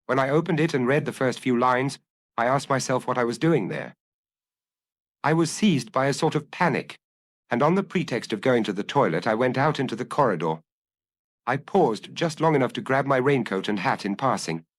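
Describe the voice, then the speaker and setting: Man acting an anguished fugitive clerk, animated pitch. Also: moderate pace, no room sound, natural voice. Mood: anguished, confessional. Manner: agitated, confessional. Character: anguished, restless male fugitive office clerk on the run. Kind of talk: audiobook character dialogue.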